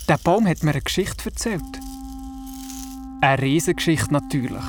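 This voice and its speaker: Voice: mid-range voice, lightly acted. Someone playing a curious Swiss boy telling stories. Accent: Swiss German. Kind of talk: children's radio play narration and dialogue.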